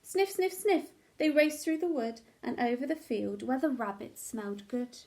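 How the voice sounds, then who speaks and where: High-voiced woman reading a children's storybook. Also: normal speed. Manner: animated, dramatic storytelling for young children. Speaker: teacher reading a children's picture book aloud. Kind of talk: expressive storybook narration.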